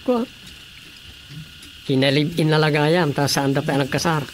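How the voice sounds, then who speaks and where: Man playing a high-toned, skeptical Ilocano brother-in-law. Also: fairly even intonation, a little slow, natural voice. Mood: curious, teasing. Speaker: radio drama actor. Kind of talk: Ilocano radio comedy drama acting. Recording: faint noise with night insects.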